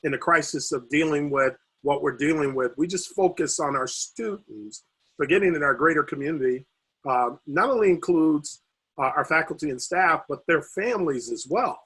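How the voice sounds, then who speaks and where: A man on a video call whose voice is worn and husky, as if he is losing it. He talks haltingly, with frequent fillers and restarts. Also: a little high, animated, a bit bright. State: losing his voice. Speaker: university recreation administrator. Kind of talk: video call panel remarks.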